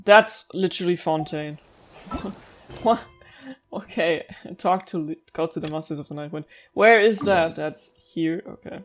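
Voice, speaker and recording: A woman's voice: low-pitched, creaky, a little hiss or hum. A young woman giving casual, rambling commentary while she plays a game, with giggly asides to viewers. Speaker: gaming streamer. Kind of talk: casual let's-play commentary.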